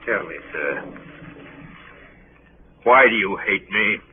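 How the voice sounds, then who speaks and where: An actor playing a mysterious, villainous passenger who taunts grandly in a 1930s radio drama. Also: male voice, lowish voice, lively intonation, slow. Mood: insistent, challenging. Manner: theatrical, mocking, insistent questioning. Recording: scratchy, tinny 1930s radio broadcast.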